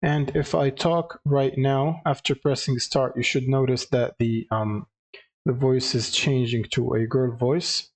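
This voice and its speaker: A man presenting a casual step-by-step software tutorial, explaining with some hesitation. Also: medium pitch, steady tone, unhurried.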